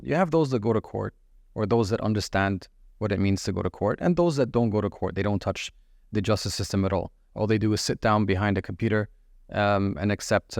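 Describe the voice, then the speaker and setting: A middle-aged man from the UAE speaks with a low, gravelly voice. He answers like a practiced lawyer, measured and steady, though he often stops on fillers and restarts phrases. The sound is dry and close. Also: dry recording. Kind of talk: podcast interview answers.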